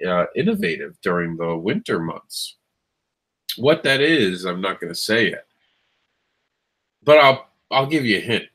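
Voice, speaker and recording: A man's voice: fairly low pitch, fairly bright tone, breathy edge. Nasal man with a lingering cold. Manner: rambling, many ums and restarts. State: has a cold, scratchy throat. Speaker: show host. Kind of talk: casual livestream chat.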